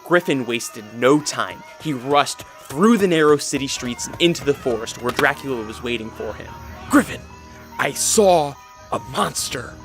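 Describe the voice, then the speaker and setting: A man's voice: mid-range voice, normal speed, hardly any pauses, a bit bright, faint music behind. A man narrating a scripted radio drama as its storyteller, in a dramatic style.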